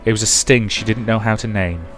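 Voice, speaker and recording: A man's voice: fairly low pitch, a little fast, soft background music. A man narrating a visual novel playthrough, reading the story aloud and adding casual commentary, with a rambling way of talking. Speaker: let's player and narrator.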